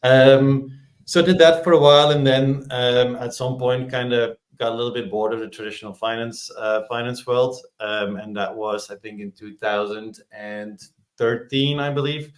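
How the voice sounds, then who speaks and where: A man, a podcast guest talking in a relaxed, rambling way as he searches for words. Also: mid-range voice, moderate pace. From Belgium. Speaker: podcast guest, startup executive. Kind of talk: casual interview chat.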